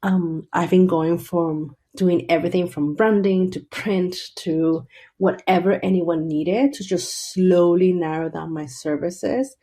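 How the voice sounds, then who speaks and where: Guest: woman, 30s, lowish, chatty with restarts. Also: measured pace, dark tone. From Venezuela. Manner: chatty, restarts sentences, laughs often. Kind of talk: casual interview.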